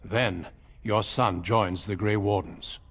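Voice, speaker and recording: An actor playing a courteous, grave warrior recruiter in a video game, speaking in a measured, formal way. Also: male voice, fairly low pitch, steady tone, breathy edge, exaggerated voice. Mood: solemn, firm. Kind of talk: dramatic video game acting.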